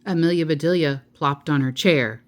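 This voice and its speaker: A woman's voice: low-pitched, normal speed, a little hiss or hum. A woman storyteller reading a children's picture book aloud.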